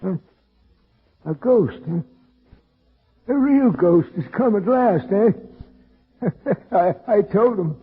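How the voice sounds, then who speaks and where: An actor playing a gullible, folksy old man who stammers in a cracked, raspy voice, from a tinny old radio drama. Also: high-pitched, animated, takes it slowly, long pauses, exaggerated voice. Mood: excited, gleeful. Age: older. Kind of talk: old-time radio character acting.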